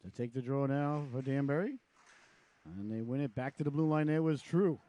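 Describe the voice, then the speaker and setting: A man with a raspy voice from a cold, calling live hockey play-by-play with chatty asides. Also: medium pitch, dark tone, highs cut off. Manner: chatty asides between rapid calls. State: hoarse from a cold. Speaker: play-by-play announcer.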